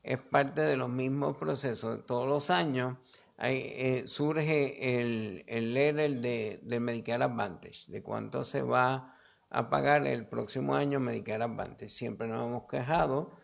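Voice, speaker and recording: Gravelly Puerto Rican official, halting delivery. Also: male voice, medium pitch. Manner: halting, repeats words mid-sentence. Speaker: health official giving a press briefing. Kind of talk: press briefing answers, semi-formal.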